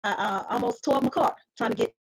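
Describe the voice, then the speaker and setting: A woman guest on a podcast, talking casually in a halting way with many fillers as she gives thanks. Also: mid-range voice.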